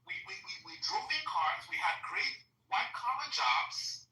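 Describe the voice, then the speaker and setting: Insistent Nigerian woman, 40s, lively bright delivery, distant roomy mic. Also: medium pitch, unhurried. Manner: emphatic, insistent, repeats herself while making a point. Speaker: discussion participant. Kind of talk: heated conversational debate.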